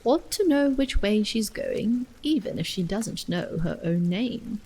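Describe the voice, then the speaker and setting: A woman voicing a prim, fussy gentleman in a storybook reading. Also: medium pitch, lively intonation, unhurried, breathy edge, low-quality recording. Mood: disapproving.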